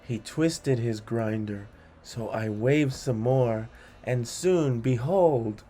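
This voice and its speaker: A man reading funny children's rhymes aloud in a deliberate, theatrical storytelling way. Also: mid-range voice, mellow. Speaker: read-along host.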